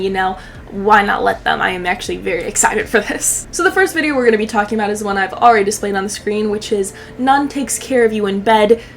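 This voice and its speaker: A woman in her twenties giving casual, sardonic, sweary comedic commentary as a YouTube host, with slight background noise. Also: medium pitch. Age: 20s.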